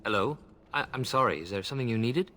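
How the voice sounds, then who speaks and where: A man's voice: mid-range voice, lively intonation, quick pace, pushed and bright. Nervous elf errand boy. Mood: nervous, flustered. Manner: stammering, deferential. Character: nervous, eager young elf errand runner.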